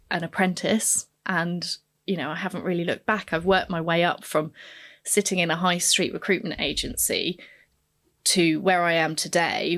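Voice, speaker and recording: A woman's voice: fairly low pitch, fairly bright tone. A woman in her forties from Kenya, a podcast guest candidly reflecting on her career path. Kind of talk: podcast interview, telling her life story.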